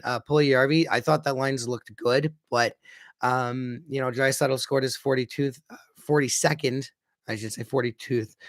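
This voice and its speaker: A hoarse man with a raspy voice chatting casually as a hockey fan livestreamer, often correcting himself as he previews a game. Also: medium pitch, a bit bright, dry recording, clean studio sound. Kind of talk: casual pregame preview chat.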